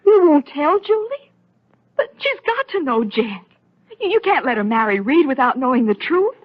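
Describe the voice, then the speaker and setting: High-voiced, anxious wife. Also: female voice, sing-song, moderate pace. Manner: urgent, fretful. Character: anxious, conscience-stricken doctor's wife Nina. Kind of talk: 1930s radio soap opera acting. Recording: muffled old radio recording.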